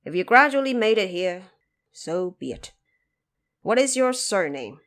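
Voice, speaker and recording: A woman voicing a stern, wise old male patriarch in an audio drama, commanding and deliberate as he lectures. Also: medium pitch, very animated, a bit bright. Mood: stern, questioning.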